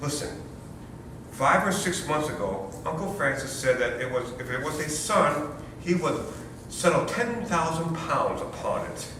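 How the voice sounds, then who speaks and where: A man's voice: sing-song, unhurried. A man playing an anxious, indignant new father in a stage comedy, with a pompous, florid, self-pitying delivery. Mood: woeful, dramatic. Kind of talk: stage comedy readers theater. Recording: roomy, distant, noisy hall recording with rumble.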